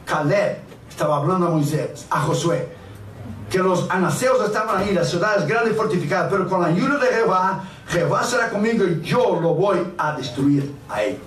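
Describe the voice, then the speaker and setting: A man's voice: high voice, expressive, normal speed, slight background noise. Impassioned middle-aged male preacher, roomy hall. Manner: impassioned, rises to loud cries of praise; addresses listeners as brother. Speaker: evangelist preacher. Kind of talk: sermon. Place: church hall with congregation. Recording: roomy hall miked from a distance.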